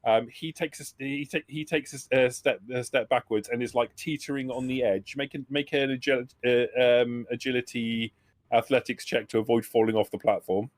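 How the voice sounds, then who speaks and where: A man's voice: mid-range voice, fairly even intonation, moderate pace, no room sound. A man acting as game master, improvising narration and rulings for a tabletop roleplaying game.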